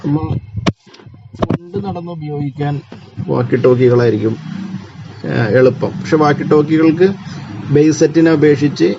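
A man with a Malayali accent gives an informal tutorial, explaining in a halting way with repeats and pauses. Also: on the high side, steady tone. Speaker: presenter of a tech explainer. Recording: dull lo-fi audio with clear background noise.

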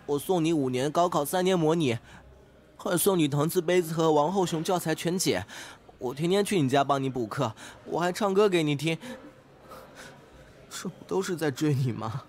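Audio drama role: guileless male student played by a man, slightly high voice, sincere, jealous, literal-minded. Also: natural voice. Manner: earnest, indignant, literal-minded. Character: earnest, naive, jealous male student with a crush. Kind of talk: amateur Chinese audio drama acting.

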